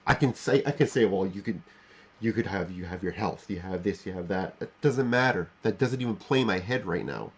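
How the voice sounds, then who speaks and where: A man in his forties thinks out loud on a livestream. His pitch moves up and down a lot, and his voice has a husky edge. He speaks in halting, emphatic bursts, circling back to rephrase what he just said. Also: lively intonation. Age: 40s. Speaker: livestream host. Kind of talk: unscripted reflective monologue to live chat.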